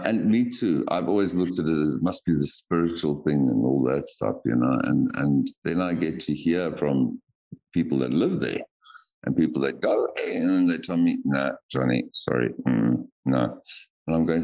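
Casting: rambling male podcast co-host, very deep and gravelly, dull lo-fi sound. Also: a little mellow. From South Africa. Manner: rambling, drawn-out emphatic repeats. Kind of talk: casual chat. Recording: dull lo-fi audio.

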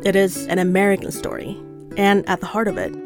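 A woman who is a producer filling in as host, reading measured scripted narration. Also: medium pitch, level tone, music in the background. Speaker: associate producer, stand-in host.

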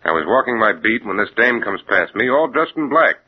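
A man's voice: fairly low pitch, big pitch swings, fairly quick, slightly rough. An actor playing an earnest, plain-spoken beat policeman in a 1940s radio drama. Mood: uneasy. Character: plain-spoken beat cop, uneasy but dutiful. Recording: dull, noisy 1940s radio recording.

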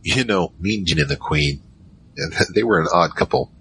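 A man's voice: low voice, expressive, unhurried, bright, forceful tone, a little hiss or hum, exaggerated voice, rough recording. A man playing a wary team member who narrates an audio drama in a rambling, wry, conversational way. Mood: wry storytelling. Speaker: narrator and lead character.

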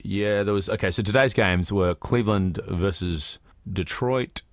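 Aussie bloke podcast host, really low voice, dry room, just chatting. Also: male voice, a little slow, no echo. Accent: Australian. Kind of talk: casual chat about films and sport.

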